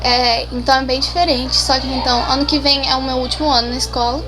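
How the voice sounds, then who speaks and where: A woman's voice: high-pitched, flat delivery, quick pace, poor audio. A seventeen-year-old girl from Brazil, answering interview questions casually, shy and hesitant with many restarts. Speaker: interview guest.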